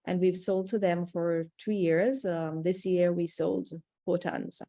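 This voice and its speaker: Woman, cocoa farmer on a video call, fairly low voice, measured and diplomatic, hesitant, dry sound. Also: steady tone, mellow, dry recording. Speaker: cocoa estate representative and third-generation cocoa farmer. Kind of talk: webinar Q&A answer.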